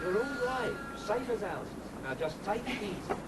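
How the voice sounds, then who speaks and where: A man's voice: high voice, sing-song, moderate pace, crowd noise. An actor playing a plucky, stubborn middle-aged father in an audio comedy drama. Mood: eager. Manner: protesting, dismissive of fuss. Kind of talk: radio comedy drama acting.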